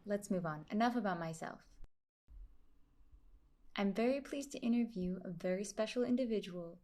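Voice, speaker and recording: A woman voicing a host's interview questions, with steady, deliberate phrasing. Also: mid-range voice.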